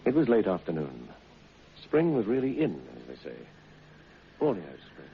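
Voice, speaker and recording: Deep, gravelly horror narrator. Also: male voice, huge pitch swings, normal speed, full character voice. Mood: sardonic. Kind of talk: dramatic radio narration. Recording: dull, noisy old radio recording.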